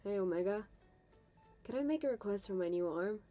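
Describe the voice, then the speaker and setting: Injured teenage male turtle for animated dub, played by a woman: mellow, hesitant, playful big-brother type, light music behind. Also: mid-range voice, a little fast, lightly acted. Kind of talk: animated comic dub acting.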